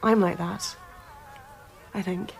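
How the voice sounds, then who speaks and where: An actress playing a self-absorbed, talkative young film crew assistant in a naturalistic radio drama. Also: female voice, fairly low pitch, steady tone, slow pace, gravelly, over music, low-quality recording. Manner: talkative, self-regarding, oblivious.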